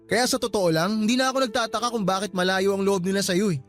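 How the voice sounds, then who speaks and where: A petulant young boyfriend in a radio drama. He nags, brushes complaints aside and turns defensive quickly, over background music. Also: sits high, steady tone, a bit bright. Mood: insistent. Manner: nagging, defensive, dismissive. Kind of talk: Filipino radio drama acting.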